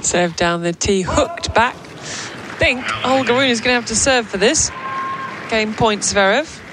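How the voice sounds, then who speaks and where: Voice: medium pitch, a little slow. A live tennis commentator co-hosting a show, mixing point-by-point calls with playful, teasing banter. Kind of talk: live radio sports commentary mixed with casual banter. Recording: crowd and vehicle noise behind. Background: tennis crowd ambience.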